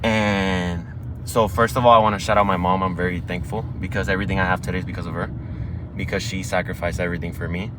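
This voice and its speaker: A man's voice: low voice, steady tone, fast talker, background noise. A young man from Cuba whose first language is Spanish, telling his story casually and candidly from inside a car. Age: young adult. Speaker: guest.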